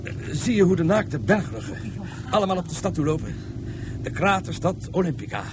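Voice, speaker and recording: An actor playing a polite, formal police inspector from Mars in a radio play, hesitating with small fillers. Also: male voice, sits high, sing-song, brisk, noisy background. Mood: courteous. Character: polite Martian police inspector, middle-aged man. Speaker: inspector and tour guide.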